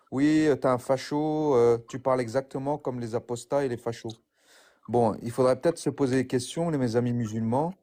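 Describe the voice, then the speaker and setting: Mellow-voiced man, hesitant speech. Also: medium pitch. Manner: hesitant, many filler sounds. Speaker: debate participant. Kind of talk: addressing a debater.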